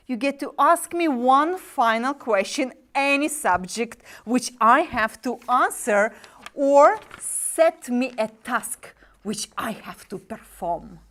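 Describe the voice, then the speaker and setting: Woman playing a slick, high-voiced demon dealmaker. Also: animated, slow pace, a bit bright. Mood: breezy, condescending. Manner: breezy show-business sales patter, mocking and theatrical. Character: smooth-talking, wisecracking demon sub-agent dealing in damned souls. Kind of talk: dramatic script reading.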